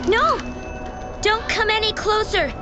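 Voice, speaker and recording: An actress playing an earnest, guarded young heroine in a video game, with halting delivery. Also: female voice, very high voice. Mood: distressed, guarded. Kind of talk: dramatic video game voice acting. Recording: game music under the voice.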